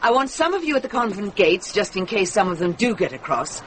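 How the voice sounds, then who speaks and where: An actress playing a calm, shrewd, authoritative medieval nun in a radio drama. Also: female voice, medium pitch, fairly quick, slight background noise, natural voice, rough recording. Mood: commanding. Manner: authoritative, composed.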